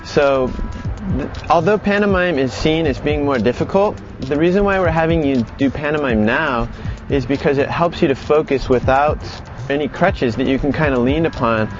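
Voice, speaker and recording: Male instructor over clear background music. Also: a little high, moderate pace, muffled recording. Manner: conversational, explanatory. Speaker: animation acting instructor. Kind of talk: explanatory online lesson.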